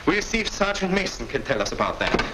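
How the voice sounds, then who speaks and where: A suave wartime German major conducting an interrogation. His speech is courteous and condescending, swinging freely in pitch. The sound is an old 1940s film track with clear background noise. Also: sing-song, fast. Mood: shrewd, explaining.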